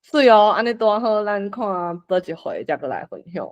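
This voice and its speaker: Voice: mid-range voice, very animated, moderate pace, dull audio. A host with a Taiwanese accent, moderating a casual discussion and inviting others to share.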